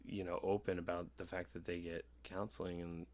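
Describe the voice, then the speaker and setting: A man in his thirties in casual podcast conversation, talking candidly in a halting, rambling way. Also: sits low, flat delivery, darkish tone. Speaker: podcast host. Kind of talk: casual podcast chat about personal experience.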